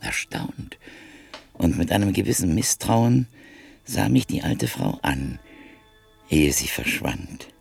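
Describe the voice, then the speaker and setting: An actor narrating a radio play as a reflective art dealer from Berlin, measured and thoughtful. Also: male voice, low-pitched, very animated, vocal fry, slightly rough, soft background music, exaggerated voice.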